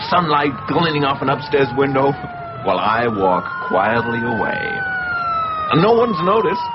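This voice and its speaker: Intense, unstable man, wildly swinging pitch. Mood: manic, excited. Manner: halting, repeating words, intense. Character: obsessive, unstable man who confuses films with his own memories. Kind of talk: radio drama acting. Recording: dull recording with faint background noise.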